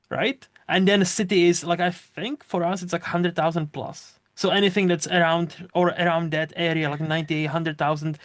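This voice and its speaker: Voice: mid-range voice, dry recording, clean studio sound. A podcast host from the Republic, speaking English in a chatty, digressive way. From Czech Republic. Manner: chatty, digressive, quick to laugh. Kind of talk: casual chat.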